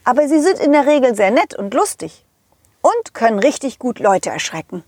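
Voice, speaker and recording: Woman as an eager, high-voiced storybook dog. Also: big pitch swings, normal speed, a little mellow, dry recording, clean studio sound. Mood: helpful, eager. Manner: eager, reassuring, playful. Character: confident, loyal, friendly male dog hero of children's story.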